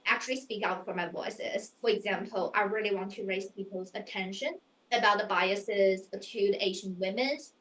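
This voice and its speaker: A woman's voice: mid-range voice, moderate pace, fairly bright tone. Young woman, echoey room. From China. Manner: earnest, searching, filler-heavy phrasing. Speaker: pageant contestant. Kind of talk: mock interview answers.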